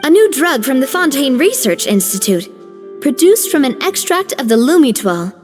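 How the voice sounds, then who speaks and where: An actress playing a calm, dignified former head chef who speaks in a composed, measured, firm way. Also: female voice, high voice, faint music behind. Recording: reverb.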